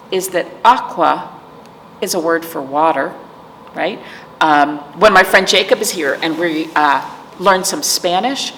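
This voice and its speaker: Slow-paced woman hosting children's storytime, echoey room. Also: fairly low pitch. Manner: gentle, playful, addressing young viewers as friends. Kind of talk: read-aloud and chat for children. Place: indoors, room with aquamarine wall. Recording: echoey room with clear background noise.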